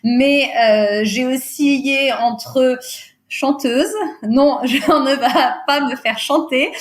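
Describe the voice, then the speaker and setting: A woman in her thirties whose first language is Portuguese chats on a podcast in a slightly high voice. She hesitates often, fills pauses and laughs readily as she remembers. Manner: hesitant, many fillers, laughs easily. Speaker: podcast guest, recruiter. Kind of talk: casual interview chat.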